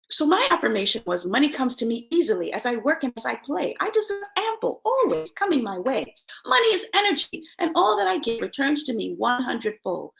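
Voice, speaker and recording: Woman, high voice, creaky edges, motivational self-help host, emphatic storyteller, from Jamaica. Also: rough recording. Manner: emphatic, expressive, repeats key words for effect. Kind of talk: motivational talk with personal storytelling.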